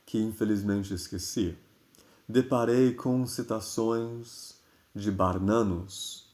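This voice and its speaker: A man with a Brazilian accent reads literary prose expressively and deliberately, with dramatic pauses. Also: lowish voice, steady tone, darkish tone. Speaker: reader of a literary newspaper column. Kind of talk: expressive literary reading, scripted.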